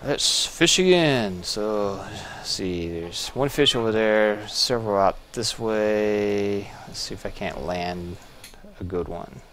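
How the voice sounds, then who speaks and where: A man with a very low voice talks through a video game in an easygoing, musing way, often pausing to restart a thought. A faint hiss sits behind his home desk microphone. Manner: relaxed, musing, thinking aloud with small restarts. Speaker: gameplay video host. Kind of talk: casual gameplay commentary.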